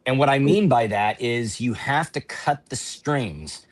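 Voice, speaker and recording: A man on a panel explaining his view in a measured way, using vivid images. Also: mid-range voice, expressive. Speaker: panel guest. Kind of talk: casual panel chat.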